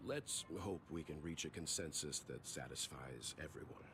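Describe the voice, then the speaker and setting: A man playing a calm, dignified, courteous gentleman in a video game, measured and reserved. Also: rumbling low, noisy background. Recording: music playing over the voice.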